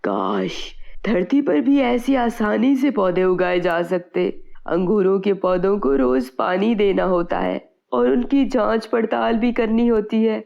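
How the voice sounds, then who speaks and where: A woman's voice: mid-range voice, slow pace, creaky, few pauses, darkish tone, dull audio. A woman voicing an honest, worried farm labourer in a children's moral story cartoon, earnest and plain-spoken. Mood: agreeable, amazed.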